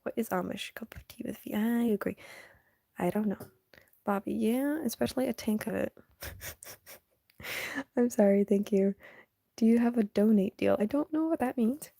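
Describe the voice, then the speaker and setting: A young woman chatting casually on a live stream while she draws, soft and half-whispered, sniffly, her voice going hoarse. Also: a little high, normal speed, long pauses, no echo, studio quality. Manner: soft, often half-whispered, hesitant and rambling.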